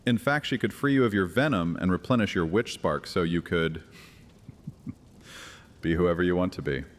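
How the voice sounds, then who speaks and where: An actor playing a sly, sardonic dark-fantasy acolyte in a horror audio drama, mocking and theatrical. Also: male voice, sits low, moderate pace, close to an everyday voice. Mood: sly tempting. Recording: long hall reverb.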